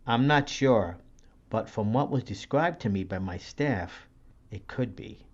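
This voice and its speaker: A man's voice: fairly low pitch, animated, measured pace. Man playing a serious doctor, muffled sound. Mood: guarded friendliness. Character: composed, serious asylum doctor. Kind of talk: audio horror drama acting.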